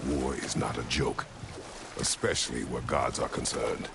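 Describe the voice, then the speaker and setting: Very deep, gravelly warrior father. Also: steady tone, background noise, heavily acted. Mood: stern, disapproving. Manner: slow, solemn, clipped. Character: stern, grim middle-aged warrior god, weary father. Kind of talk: video game voice acting.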